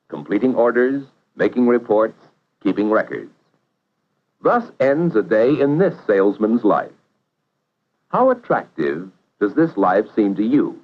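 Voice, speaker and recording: A man narrating a 1950s educational film in a measured, instructive way, posing questions to the viewer. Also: on the low side. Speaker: narrator of vocational training film. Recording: dull vintage 1950s film soundtrack.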